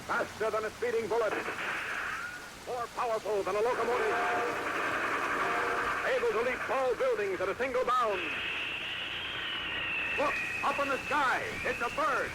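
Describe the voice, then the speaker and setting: A man giving a dramatic, booming opening for a 1940s radio serial. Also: normal speed, pauses often. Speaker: radio show announcer. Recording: very noisy 1940s radio recording.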